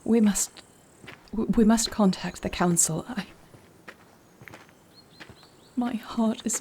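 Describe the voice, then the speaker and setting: A woman playing a noble warrior princess in a fantasy audio roleplay, speaking solemnly, formally and with deliberate care. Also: mid-range voice, vocal fry, a little mellow, slight background noise. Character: noble warrior princess, grave, torn, devoted wife.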